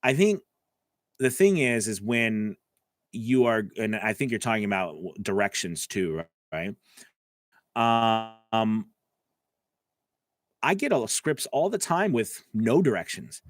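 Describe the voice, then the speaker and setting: A man's voice: lowish voice, lively intonation, takes it slowly, long pauses, no room sound. A man coaching voice actors on a live stream, answering viewer comments in a casual, chatty way from his home studio. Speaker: voice-over coach.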